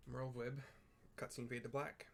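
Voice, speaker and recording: A man's voice: medium pitch, level tone, moderate pace, gravelly. A man with a mid-range voice gives casual video game commentary. He is chatty and descriptive, dropping in playful asides. Game ambience and some noise sit behind him. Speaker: gaming video narrator.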